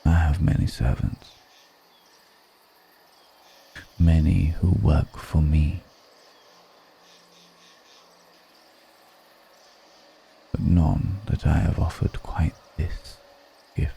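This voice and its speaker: A man voicing a seductive, grave vampire lord in an intimate ASMR roleplay, speaking in a hushed, deliberate and solemn manner. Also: a bass voice, little pitch movement, gravelly, slight background noise, heavily acted. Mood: solemn, inviting.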